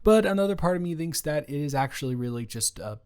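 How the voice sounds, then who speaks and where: A man playing an awkward, considerate ex-boyfriend in an improvised audio roleplay, speaking conversationally in a halting, stammering way. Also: fairly high pitch, expressive, a little mellow, clear, resonant tone, close to an everyday voice. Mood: reflective, tentative. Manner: hesitant, halting, stammering, with nervous chuckles. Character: awkward, considerate young ex-boyfriend, nervously teasing.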